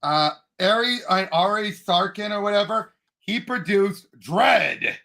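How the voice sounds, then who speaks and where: A man with a high voice that carries a gravelly creak. He co-hosts a casual discussion in an animated, opinionated way, with a sharp edge to his tone and some groping for words. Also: measured pace. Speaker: podcast co-host. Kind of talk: casual pop culture discussion.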